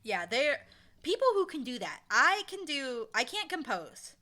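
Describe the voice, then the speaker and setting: Bright, forceful woman chatting as interview guest. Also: high voice, very animated, unhurried. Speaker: interview guest, audio drama creator and casting director. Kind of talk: casual interview chat.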